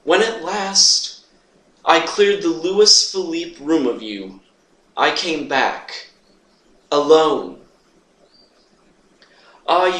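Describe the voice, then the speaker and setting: Man performing a slow, tormented, lovesick theatrical Phantom. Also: a little high, bright, forceful tone, exaggerated voice. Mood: coldly menacing. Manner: theatrical, drawn-out, emphatic monologue delivery. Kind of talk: dramatic monologue reading. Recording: roomy echo, distant microphone.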